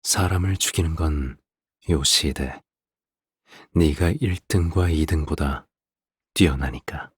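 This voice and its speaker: An actor playing a calm, menacing middle-aged assassin father in a video game, speaking gently and without hurry to his daughter. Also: very deep voice, breathy edge, long reverb tail, heavily acted. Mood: tender, consoling. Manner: gentle, unhurried, eerily calm, paternal. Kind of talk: video game character voice lines.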